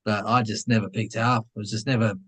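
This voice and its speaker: A man's voice: on the low side, level tone, fast talker, fairly bright tone. A man chatting casually as a guest, modest and self-deprecating about himself. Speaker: returning guest on the show. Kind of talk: casual chat.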